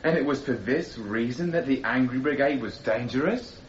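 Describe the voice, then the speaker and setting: A man's voice: medium pitch, lightly acted. Man acting a probing young radical defendant. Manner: deliberate, insistent, probing. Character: young male radical defendant, coolly challenging, defending himself. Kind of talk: radio courtroom drama acting. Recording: dull radio recording with clear noise.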